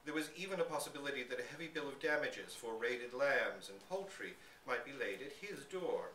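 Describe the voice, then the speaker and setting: A man giving an expressive live dramatic reading of a literary short story. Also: medium pitch, a little fast. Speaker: story reader. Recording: echoey room, distant mic, background noise.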